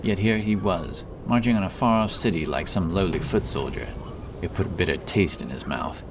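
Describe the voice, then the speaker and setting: Brief: male narrator, low register, fantasy audiobook storytelling, noticeable ambience behind the voice. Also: normal speed, background noise. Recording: clear background ambience under voice.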